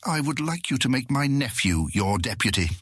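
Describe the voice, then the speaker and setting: A genial fantasy guildmaster who sounds naive and entitled. His voice is bright and raspy, swinging widely in pitch as he breezily makes lordly demands. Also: very animated, moderate pace, no room sound, studio quality. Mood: blithely demanding. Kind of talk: fantasy audio drama acting.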